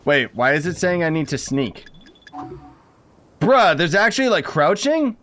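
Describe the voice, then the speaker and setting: A man's voice: a little high, big pitch swings, a little slow, fairly bright tone, a little hiss or hum. A young man giving casual gameplay commentary in a rambling, slangy, often exasperated manner. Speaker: game streamer. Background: game music.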